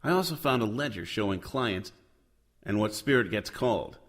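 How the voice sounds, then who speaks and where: A man's voice: lowish voice, lively intonation, normal speed. An actor playing a hard-boiled private detective who narrates his case in a noir audio drama. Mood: moody, storytelling. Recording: music under the voice.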